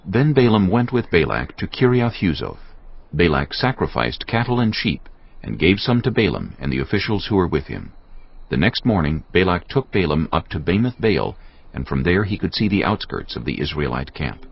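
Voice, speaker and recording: Guy narrating an audio bible, gravelly deep voice, expressive, music underneath. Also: moderate pace, lo-fi sound.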